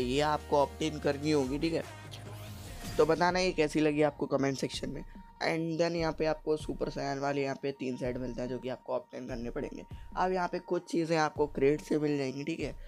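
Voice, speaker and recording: A man, a gaming YouTuber talking through a game event as a voice-over, steady and explanatory, saying "okay" again and again. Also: on the high side. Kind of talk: screen-recorded game guide.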